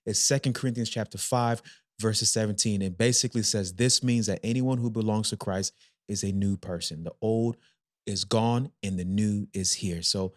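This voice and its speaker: A man's voice: lowish voice, normal speed, dry recording, studio quality. A man in his thirties co-hosting a casual faith-based relationship podcast, talking energetically and driving his points home with repetition. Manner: energetic, emphatic, repeats points. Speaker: podcast co-host.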